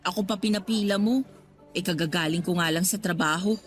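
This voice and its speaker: A woman's voice: fairly low pitch, a little fast, natural voice. An actress playing an exasperated, sulky young working girlfriend with a Filipino accent, huffy and nagging in a radio drama. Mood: sulky, annoyed. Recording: background music under the voice.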